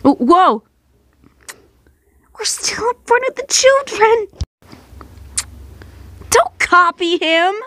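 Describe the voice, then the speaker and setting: A woman's voice: extremely high pitch, sing-song, drawn-out words, pauses often, fairly bright tone, slight background noise, voice pushed up, full character voice. A woman voicing a flustered, easily outraged, emotional young man with an exclamatory, stammering manner, in a comic dub. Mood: indignant.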